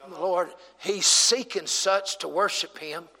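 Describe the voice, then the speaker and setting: A man in his forties preaching a humorous sermon, teasing the congregation and building up to shouting. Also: high voice, a little slow, long reverb tail. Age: 40s. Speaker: pastor. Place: church sanctuary.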